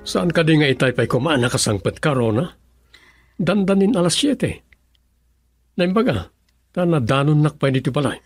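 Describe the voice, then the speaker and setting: An actor playing a brooding husband who longs for a child in an Ilocano radio drama, grave and hesitant, with an Ilocano accent. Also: male voice, fairly high pitch, big pitch swings, normal speed, long pauses, breathy edge, no echo, soft background music, full character voice. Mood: probing, concerned.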